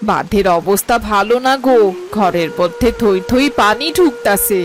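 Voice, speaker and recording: A practical, watchful auntie from a village tale , acted by a woman, with a rural Bangladeshi accent. She speaks urgently at a medium pitch, as if calling to neighbours. Music runs clearly behind the voice. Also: moderate pace, lightly acted. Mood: urgent, warning. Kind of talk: dramatised Bengali story acting.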